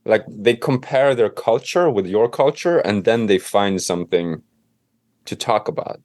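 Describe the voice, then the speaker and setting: Give me a relaxed Swedish-accented man on a dry call mic, talking as a guest. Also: lowish voice, measured pace, no echo. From Sweden. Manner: relaxed, loose, leans on fillers. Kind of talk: casual interview. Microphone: remote call.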